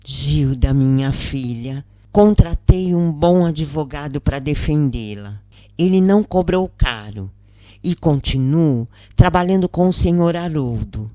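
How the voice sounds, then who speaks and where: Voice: mid-range voice, expressive, unhurried, dark tone, no room sound, close to an everyday voice. A narrator voicing a worried, loving mother who pleads and confides in an audio story. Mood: reassuring. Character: worried, loving working-class mother of prisoner.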